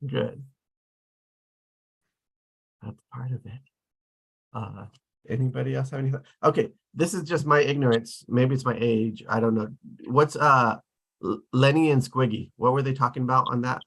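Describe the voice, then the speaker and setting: A man's voice: mid-range voice, normal speed, gravelly, pauses often, a bit bright, husky. A man chatting casually in a group, tentative and often correcting himself mid-thought. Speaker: table read participant.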